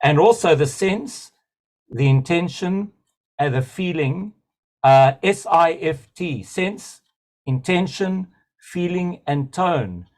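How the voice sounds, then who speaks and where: A man's voice: a little high, expressive, slow, a little mellow. Enthusiastic, rambling man on a video call. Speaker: webinar participant. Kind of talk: spontaneous comment in a webinar.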